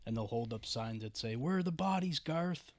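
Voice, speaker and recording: A young man with a Western New York accent co-hosting a casual podcast, loud, profane and jokey, sometimes singing snatches of songs. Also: medium pitch, lively intonation, normal speed. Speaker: podcast co-host. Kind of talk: casual banter.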